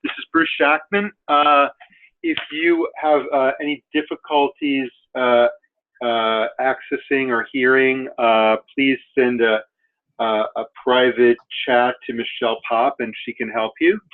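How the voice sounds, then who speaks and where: A man hosting a webinar, introducing the speakers in a halting way with many fillers. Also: medium pitch, fairly even intonation. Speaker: webinar host. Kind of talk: hesitant spoken introduction. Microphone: conference call. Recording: tinny phone-line audio.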